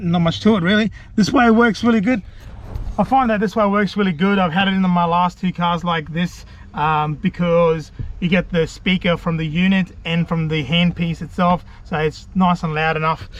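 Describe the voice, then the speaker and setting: A man giving a laid-back, self-deprecating hands-on DIY tutorial, chatting colloquially to his viewers. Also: sits high. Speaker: vlogger presenting a DIY car install.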